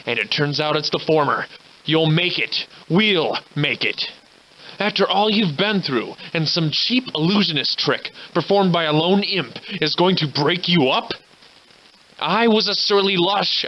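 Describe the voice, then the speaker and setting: A man's voice: on the high side, muffled recording, natural voice. Man acting as theatrical, surly old-time drunken scoundrel. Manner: florid, old-fashioned, theatrical diction. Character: surly hard-drinking old-time scoundrel, grandiloquent survivor. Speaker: video game protagonist voice actor. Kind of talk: theatrical video game character dialogue.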